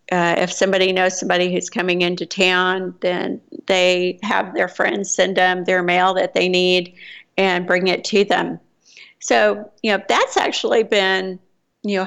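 A woman's voice: medium pitch, creaky, a bit bright. A woman with a Texas accent, an American living abroad, chatting casually in a rambling way with fillers and restarts. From United States. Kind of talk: casual chat.

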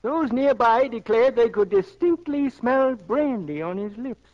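An actor playing a folksy, teasing middle-aged farmer husband in a 1940s radio drama. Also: male voice, very high voice, animated, measured pace. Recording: muffled 1940s radio broadcast.

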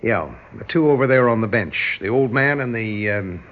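A man's voice: mid-range voice, lively intonation, a little hiss or hum, natural voice. An actor playing a slick, ingratiating businessman of dubious schemes in 1960s radio drama style. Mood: sly, smooth. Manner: chuckling, ingratiating, hesitant pauses. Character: slick, smooth-talking male tycoon of dubious schemes. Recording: dull lo-fi old radio recording.

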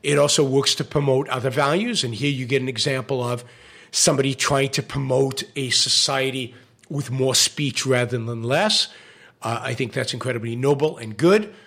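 A man's voice: medium pitch, normal speed, vocal fry, fairly bright tone. A man hosting a live-stream show, giving opinionated commentary with many fillers and restarts, his voice starting to go. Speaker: show host.

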